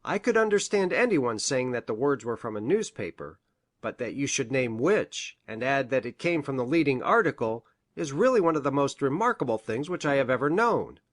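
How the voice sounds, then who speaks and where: A woman's voice: very deep voice, sing-song, normal speed, voice pushed down, exaggerated voice. A woman voicing an earnest, scholarly male doctor with a formal, emphatic manner in an audiobook. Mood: amazed. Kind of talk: audiobook character reading.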